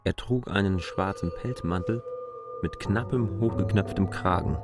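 A man's voice: sits low, moderate pace. A man reading scripted literary narration in a radio drama. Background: music.

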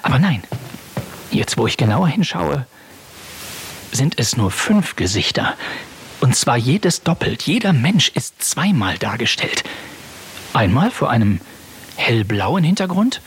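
A man's voice: medium pitch, sing-song, unhurried, pauses often. An actor playing a brash, overconfident boy who leads his friends in a children's audio play, heard from a worn cassette. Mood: describing. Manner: brash, overconfident, prim when polite. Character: bossy, reckless boy leader of the gang. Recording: hissy old cassette.

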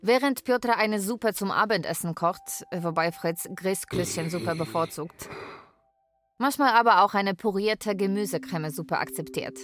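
A woman playing a carer who narrates a daily routine in a radio drama, measured and matter-of-fact. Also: medium pitch, a bit bright, faint music behind, close to an everyday voice.

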